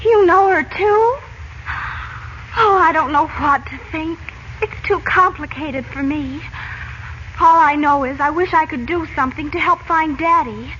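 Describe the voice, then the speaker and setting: A woman's voice: high voice, measured pace, a bit bright. An actress playing an eager, naive American teenage girl, anxious about her father, breathless and earnest, in 1940s radio drama style. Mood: earnest, worried. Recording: muffled, noisy old radio recording.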